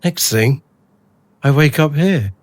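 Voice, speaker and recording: An actor as an anxious, bewildered ordinary bloke. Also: male voice, a little high, animated, takes it slowly, no echo. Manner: agitated, blunt, colloquial. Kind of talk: radio drama acting.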